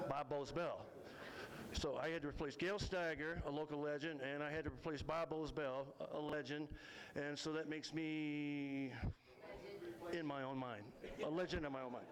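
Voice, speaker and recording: A man telling a story live in a folksy, rambling, self-deprecating and halting way. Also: on the high side, rough recording. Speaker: storyteller and local history author. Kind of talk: live tall-tale storytelling to an audience.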